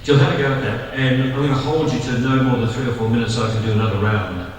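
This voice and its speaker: Brisk man chairing in an echoey hall. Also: medium pitch, steady tone, a little hiss or hum. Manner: brisk, encouraging. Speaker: session chair. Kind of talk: moderating Q&A. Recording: echoey hall, distant mic.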